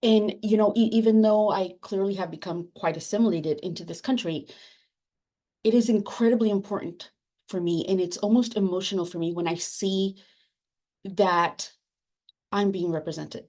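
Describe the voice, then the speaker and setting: Woman on a video-call panel, first language Spanish, earnest and halting with fillers, middle pitch. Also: fairly even intonation, mellow. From immigrant, first generation. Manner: earnest, hesitant with many fillers. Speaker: book editor on a panel. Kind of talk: panel discussion answer.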